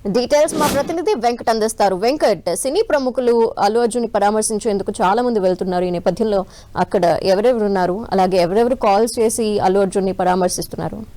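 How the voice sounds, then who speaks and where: A woman's voice: medium pitch, quick pace, background noise. A woman presenting live news as an anchor, putting questions to a reporter.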